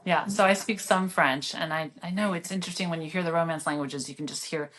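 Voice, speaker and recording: A woman's voice: lowish voice, fast talker, fairly bright tone, slight background noise. A woman hosting an interview, encouraging her guest with short affirmations. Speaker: podcast host. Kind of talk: casual interview.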